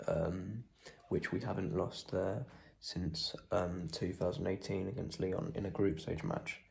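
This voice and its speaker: A young man with a cold and sore throat, talking quietly and casually about a football match, with lots of ums. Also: low voice, fairly even intonation, unhurried, vocal fry. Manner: speaks quietly, holding back volume; rambling with many ums. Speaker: football fan vlogger. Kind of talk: casual post-match reaction.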